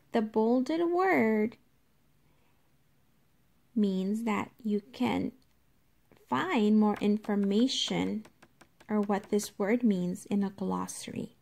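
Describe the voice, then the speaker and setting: Lady teacher doing a kids read-aloud, really slow and careful, bit of creak in her voice. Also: medium pitch, darkish tone. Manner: deliberate, instructive, addressing children directly. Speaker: teacher reading aloud to young children. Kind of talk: children's nonfiction read-aloud with explanations.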